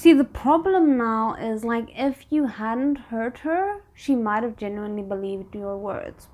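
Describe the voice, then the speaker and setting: A woman's voice: a little high, lively intonation, normal speed, a little mellow, muffled recording. A woman hosting a reaction video, thinking aloud in casual commentary and often addressing the characters directly.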